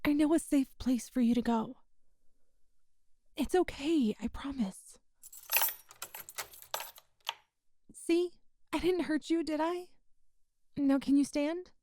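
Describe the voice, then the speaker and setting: A young female rebel rescuer, acted by a woman in an ASMR roleplay, tough yet kind. Her voice is high and soft-edged, with a folksy, hushed delivery. The sound is very dry and intimate. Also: a little slow, long pauses, dry recording. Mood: urgent, reassuring. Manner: folksy, reassuring, hushed, uses "ain't".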